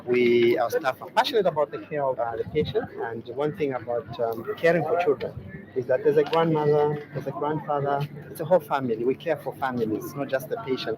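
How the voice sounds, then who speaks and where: A man speaks quickly, giving formal press remarks as a hospital official. His pitch is middling, and a loud crowd chatters behind him in a noisy recording. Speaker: hospital medical superintendent.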